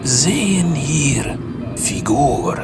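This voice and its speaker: A man's voice: mid-range voice, very animated, very slow, gravelly, over music, exaggerated voice. A man playing an eccentric, pious immigrant taxi driver with a thick Italian accent and broken grammar in an audio drama. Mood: earnest.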